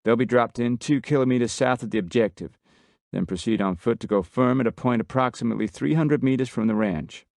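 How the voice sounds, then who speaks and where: A man voicing a brisk male American commander with a Texan drawl, giving a loud, commanding briefing. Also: medium pitch, creaky, no echo, studio quality, voice pushed up, natural voice. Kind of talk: audiobook character voice.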